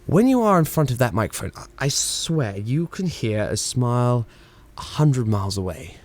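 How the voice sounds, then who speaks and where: A man's voice: medium pitch, very animated, moderate pace, close to an everyday voice. A man acting an ebullient, irreverent English engineer in charge in a 1920s radio play, with theatrical, bantering old-chap phrasing. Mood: coaxing, earnest. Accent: British.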